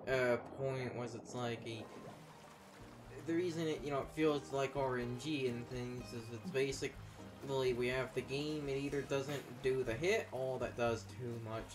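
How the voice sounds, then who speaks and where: A man gives casual, unscripted game commentary over clearly audible music. His pitch sits in the middle range, and he talks in a halting way, often repeating words as he works through a point. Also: steady tone. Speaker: gaming commentator. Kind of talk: casual unscripted gaming chat.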